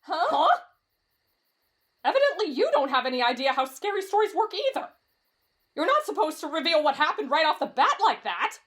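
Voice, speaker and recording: Woman voicing an excitable, timid anime boy, swooping high. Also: a little fast, pauses often. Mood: curious, startled. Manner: dramatic, whiny, swinging between fretful and gushing. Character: timid, excitable, lovestruck teenage boy on a camping trip. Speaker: voice actor in roleplay audio. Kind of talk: anime-style ASMR roleplay drama.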